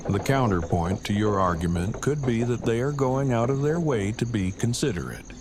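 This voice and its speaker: A man's voice: mid-range voice, animated, moderate pace, lightly acted. A man playing an earnest, nerdy character who speaks in stiff, wordy, overly precise formal sentences in an audio drama. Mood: earnest, reasoning. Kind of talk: fan audio drama dialogue. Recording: home recording with clear background noise.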